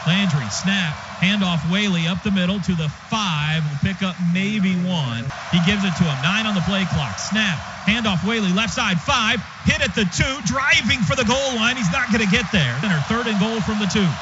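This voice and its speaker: Casting: American male football play-by-play announcer, high voice, clipped and excitable, over a dull, noisy stadium radio feed. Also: moderate pace, hardly any pauses. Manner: clipped present-tense phrases, excitable on big plays.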